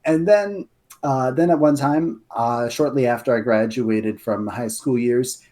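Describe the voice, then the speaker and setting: A young man with a deep voice chats as a podcast guest. He tells his stories eagerly, stumbling over restarts and fillers along the way. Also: unhurried, warm tone. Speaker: guest, lore writer. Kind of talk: casual podcast chat.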